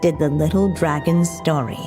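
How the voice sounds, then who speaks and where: A woman playing a tender, solemn mother who tells a storybook tale in a video game, in a measured manner. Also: low-pitched, over music, close to an everyday voice. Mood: gentle narrating.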